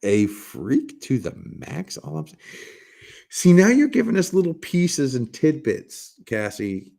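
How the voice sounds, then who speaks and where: A man with a raspy smoker's voice hosting a casual livestream, joking with viewers and rambling through restarts with bursts of laughter. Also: on the high side, wildly swooping pitch, takes it slowly, dark tone. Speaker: livestream host.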